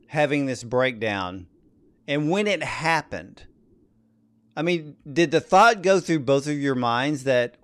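A man hosting a conversational interview, speaking emphatically and addressing his listeners directly. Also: a little high. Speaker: interview host.

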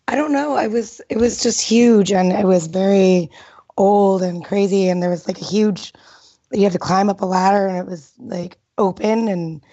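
A woman's voice: medium pitch, mellow. A woman in her thirties, a former child actor, reminiscing casually in an interview, giggling often and trailing off with fillers. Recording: dull lo-fi sound.

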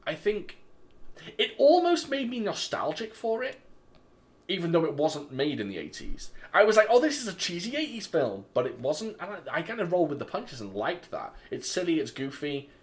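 A man hosting a casual film review podcast, animated and quick to laugh. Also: a little high. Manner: animated, laughing, jumps in often. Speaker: podcast host.

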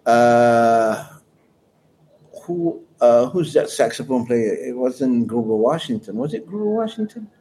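Man, mellow, warm voice; relaxed, rambling anecdotal guest. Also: medium pitch, unhurried. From Malaysia. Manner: rambling, anecdotal storyteller with chuckles. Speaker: guest musician. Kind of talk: casual interview chat.

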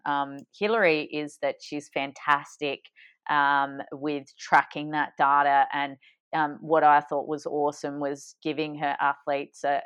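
Low-voiced woman giving a clear, thoughtful summary. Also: dull audio. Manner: thoughtful, hesitant, with many ums and restarts. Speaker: podcast co-host. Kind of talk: summarizing an interview for listeners.

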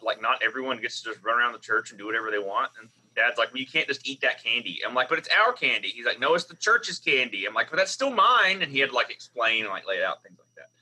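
Bright-voiced Southern man on a call. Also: medium pitch, poor audio. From Southern United States. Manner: chatty, self-deprecating storyteller with restarts. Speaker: biblical scholar, professor. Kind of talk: online panel discussion. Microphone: video call.

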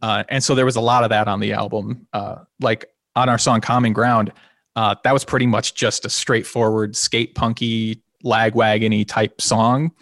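Relaxed, slightly nasal man musician. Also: fairly low pitch, moderate pace, no room sound, clean studio sound. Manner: relaxed and rambling, with many restarts and small laughs. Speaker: singer and guitarist of a band. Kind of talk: casual interview conversation.

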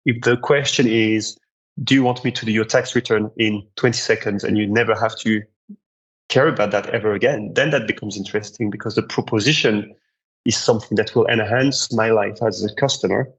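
A man's voice: lowish voice, steady tone, brisk, slightly rough, low-quality recording. A man with a French accent, a podcast guest giving earnest answers and often repeating words as he thinks. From France. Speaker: guest, marketing director.

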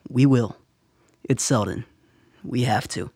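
A confident military officer in a radio drama. His mid-pitched voice is husky and creaky, and he talks tersely and matter-of-factly in a dry recording. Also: takes it slowly, a little mellow, no room sound. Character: gruff, confident military intelligence officer, a man.

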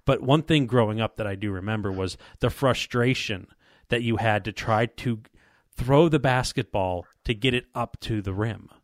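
Male podcast host, lowish pitch, dry close sound, casual rambling talk. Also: moderate pace, no room sound. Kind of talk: casual podcast chat with sponsor reads.

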